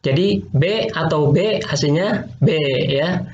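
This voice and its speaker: A man's voice: fairly high pitch, slow. A male teacher, patiently explaining logic in an online class, repeating points and quizzing students. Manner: patient, repeats points, asks quiz questions. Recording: roomy, dull lo-fi online class audio with faint noise.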